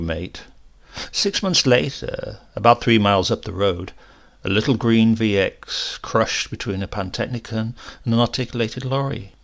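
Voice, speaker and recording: A man reading a horror story as a shaken, rambling accident survivor, halting and conversational, brooding and prone to outbursts. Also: on the low side, gravelly, fairly bright tone, heavily acted. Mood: wry, bitter. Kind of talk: dramatic first-person horror story reading.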